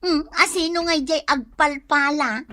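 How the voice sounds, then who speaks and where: A woman's voice: high-pitched, fairly even intonation, unhurried, sharp tone, dry recording, clean studio sound. A woman acting a teasing, gossipy co-worker in a radio drama. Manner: teasing, giggly.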